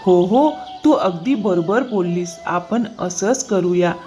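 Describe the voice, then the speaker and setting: A woman voicing a greedy, deceitful farmer man in a moral-story cartoon, flattering and easily flustered. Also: on the low side, takes it slowly, faint music behind. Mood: agreeable.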